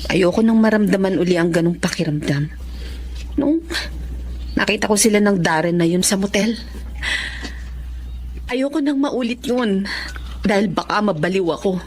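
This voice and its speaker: A woman's voice: medium pitch, moderate pace, pauses often, darkish tone, slight background noise, natural voice. A woman playing a betrayed but resolute wife, emotional and earnest, in a Tagalog radio drama. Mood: resigned resolve.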